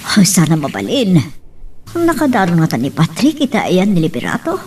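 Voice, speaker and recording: Woman acting an anxious, scolding mother, animated pitch. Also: normal speed, distant mic. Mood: worried. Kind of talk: Ilocano audio drama acting. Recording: off-mic, faint background noise, clicks.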